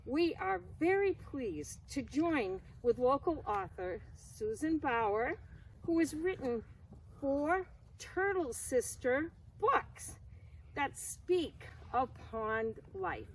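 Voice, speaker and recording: A woman with a high, lively voice reads a formal welcome. Her pace is unhurried and deliberate. She is recorded a little away from the mic with faint noise around her. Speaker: event introducer for a conservation group. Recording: slightly distant mic, faint background noise.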